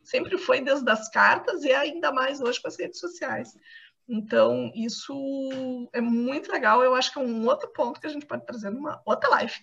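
A high female voice with a Brazilian accent and a strong creak talks in a conversational academic style. The online call makes it sound dull and lo-fi. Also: moderate pace, fairly bright tone. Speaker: academic panelist.

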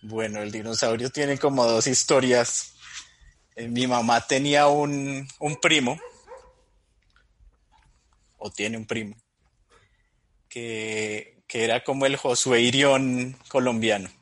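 A man in his thirties with a middle-pitched voice speaks as a guest in an interview. He tells stories in a relaxed way and often chuckles mid-sentence. The close, dry recording leaves no room echo. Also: slow pace, pauses often. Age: 30s. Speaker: Bible teacher, podcast guest. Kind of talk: casual interview.

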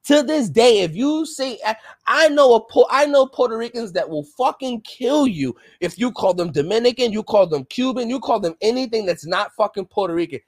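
Ranting podcast co-host, heavy swearing, mid-pitched voice, dead-dry close sound. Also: lively intonation, normal speed, few pauses, no echo. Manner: passionate, ranting, swears often. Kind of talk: casual political chat.

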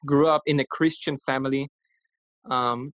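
A man's voice: mid-range voice, fairly even intonation, unhurried, dry recording. A man in his twenties from Austria, a podcast guest telling his faith story earnestly and casually. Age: 20s. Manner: earnest, warm-hearted storytelling with fillers. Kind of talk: casual testimony.

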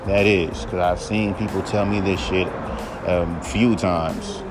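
A man with a deep voice hosting a podcast, talking bluntly and profanely in a casual solo rant. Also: fairly even intonation, slow pace, over music. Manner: blunt, profane, mocks others in high mimicking voice. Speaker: podcast host.

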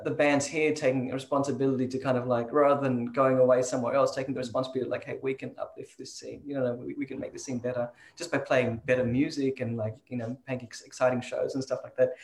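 An Australian musician in a casual interview, talking with hesitant, repeated words and frequent laughter. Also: male voice, medium pitch. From Sydney, Australia. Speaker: band member guest. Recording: roomy echoey sound.

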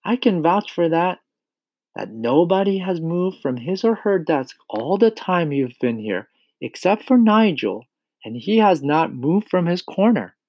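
Storybook schoolteacher, played by a man: gentle woman, raised pitch, composed, courteous, quietly firm. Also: big pitch swings, a little slow, dry recording, heavily acted. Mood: calm, firm.

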